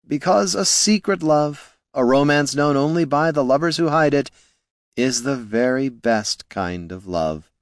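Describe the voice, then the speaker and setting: A whimsical bard voiced by a male narrator, smug and in love with romance. His voice is raised and very animated, lingering theatrically as in a fantasy storybook. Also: medium pitch, unhurried, no room sound, studio quality, pitched above the performer's own voice, close to an everyday voice. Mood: dreamy. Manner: theatrical, sighing, lingering on words.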